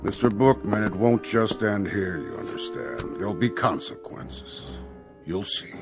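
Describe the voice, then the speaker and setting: An actor playing Death as a polite, exacting bureaucrat in a radio drama, speaking in a formal, measured way. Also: male voice, on the low side, over music, natural voice. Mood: stern, affronted.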